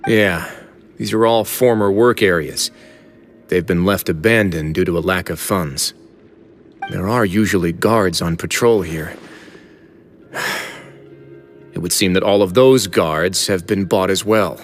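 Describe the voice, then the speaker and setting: Brief: a composed, quietly authoritative warden, lower register, game score faintly under him. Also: male voice, measured pace, pauses often. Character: calm, commanding adult male prison warden. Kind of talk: video game cutscene voice acting. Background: game music soundtrack.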